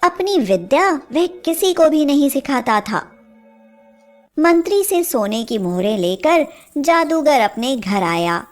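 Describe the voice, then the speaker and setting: High-pitched, unhurried woman storyteller. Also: very animated, faint music behind. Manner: measured storytelling. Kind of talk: scripted Hindi fairy tale narration.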